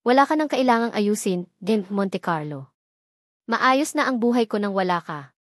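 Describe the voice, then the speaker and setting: A woman's voice: medium pitch, expressive, normal speed, dry recording, clean studio sound, lightly acted. A woman playing a proud, hurt young woman in a dramatic story, speaking hard, cold and accusing. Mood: bitter, sarcastic.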